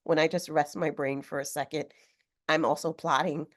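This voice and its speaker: A woman author speaking on a video call, a little hoarse from a cold, talking thoughtfully with some fillers. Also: sits low, steady tone, normal speed. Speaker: author guest. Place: home room with sewing machine. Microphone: video call webcam.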